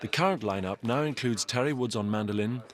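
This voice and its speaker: A man's voice: medium pitch, brisk. A man reading scripted narration as the narrator of a documentary.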